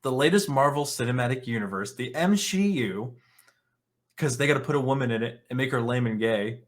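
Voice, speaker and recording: A man with a medium-pitched voice hosts an opinionated commentary show. He moves between reading written articles and giving his own reactions. His manner is blunt and scornful, with sarcasm and casual swearing. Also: expressive, a little fast. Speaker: online commentary show host.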